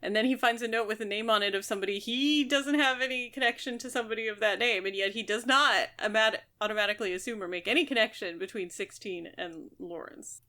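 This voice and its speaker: A woman co-hosting a podcast, discussing a book casually and bluntly, thinking out loud as she goes. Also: a little high, fast, few pauses. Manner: informal, blunt, speculative. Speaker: podcast co-host.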